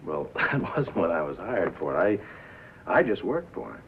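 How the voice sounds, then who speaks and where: A man's voice: low-pitched, big pitch swings, normal speed. An American man acting as a cynical, worldly press agent in a 1950s film drama, with a wry, sardonic manner. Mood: sardonic, bitter. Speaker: actor in a feature film. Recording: muffled vintage film soundtrack, faint noise.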